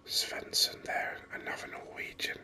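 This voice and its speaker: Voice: measured pace, pushed and bright. A gaming host whispering ASMR commentary very close to the microphone in a rambling, hesitant way. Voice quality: breathy unvoiced whisper. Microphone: microphone very close to the mouth. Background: voice-over on game screen capture.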